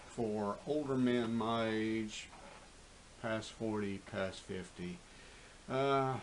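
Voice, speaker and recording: A man's voice: on the low side, a little hiss or hum, rough recording. A man in his fifties talking candidly to camera in a rambling, profane way, full of fillers and restarts. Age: 50s. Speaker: fitness vlogger. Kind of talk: casual monologue to camera.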